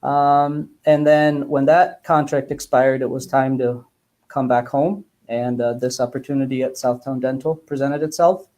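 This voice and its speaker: A man in his thirties giving measured, casual interview answers with hesitant pauses. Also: medium pitch, fairly even intonation, darkish tone. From Bosnia, grew up in Germany and the United States. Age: 30s. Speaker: guest, dentist.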